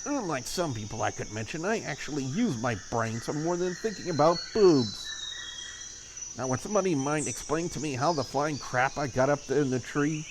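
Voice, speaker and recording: A man's voice: a little high, lively intonation, normal speed, noisy background, lightly acted. A man voicing a grumpy young woman in a visual novel, talking in a blunt, sardonic, exasperated way. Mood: irritated. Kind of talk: visual novel character read aloud.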